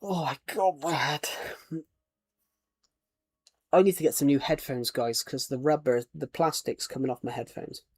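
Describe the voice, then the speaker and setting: A man's voice: a little high, moderate pace, pauses often, darkish tone, breathy edge, no echo. Rambling British man chatting on stream. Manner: rambling, chatty, reads viewer comments and names aloud. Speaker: livestreamer. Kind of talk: casual livestream chat while playing a game.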